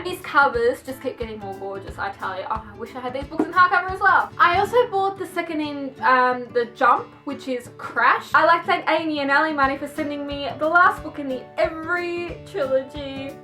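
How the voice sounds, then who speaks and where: High, bright young woman, gushing. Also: expressive, fairly quick, few pauses, faint music behind. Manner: gushing, exclamatory, chatty asides. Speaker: book vlogger presenting a haul. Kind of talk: casual chat to camera, reading blurbs aloud.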